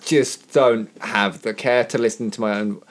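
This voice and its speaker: A man's voice: lowish voice, steady tone, a little slow. A man in casual podcast chat, dry and matter-of-fact.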